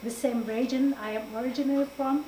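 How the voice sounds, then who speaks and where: A woman from Morocco presenting to an audience in a halting way, with many fillers and frequent laughs. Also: high voice, fairly even intonation, dark tone. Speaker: guest presenter. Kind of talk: informal talk to an audience. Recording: echoey room, distant mic, faint noise.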